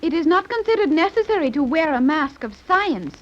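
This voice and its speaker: An earnest, naive girl, speaks very high with lively swings of pitch, yet in stiff formal wording. It is old radio serial acting, muffled by the recording. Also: brisk. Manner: stiffly formal, uncontracted speech. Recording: dull 1930s radio recording.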